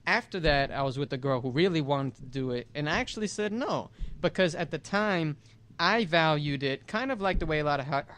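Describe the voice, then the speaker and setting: A man's voice: fairly high pitch, animated, a little fast, a bit bright. A young man from New York, co-hosting a podcast and speaking earnestly and reflectively in casual chat. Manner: reflective, earnest, laughs easily. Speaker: podcast co-host.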